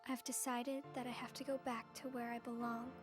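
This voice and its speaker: A woman voicing a gentle, resigned teenage girl in a dramatic video game, speaking softly and solemnly. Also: fairly high pitch, flat delivery, brisk, lightly acted. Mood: solemn resolve. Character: gentle, resigned teenage girl accepting her sad fate. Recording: game audio under loud music.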